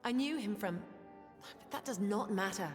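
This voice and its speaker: A woman acts as a subdued, remorseful soldier from a fantasy video game. Her medium-pitched voice is earnest and hesitant. It has a long reverberant tail, with music underneath. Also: normal speed, natural voice. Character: quiet, guilt-ridden female soldier confessing, earnest. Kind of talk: video game character acting.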